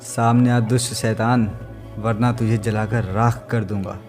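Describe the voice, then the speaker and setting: A man's voice: fairly low pitch, little pitch movement, a little slow, a little mellow, faint music behind, natural voice. A man voicing a stern, commanding tantric holy man in a horror cartoon story, with chant-like calls.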